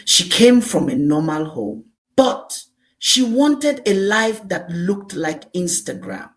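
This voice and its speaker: Slow, deliberate woman storyteller. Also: mid-range voice, big pitch swings. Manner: deliberate, emphatic short phrases with repetition. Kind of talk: scripted dramatic folktale narration.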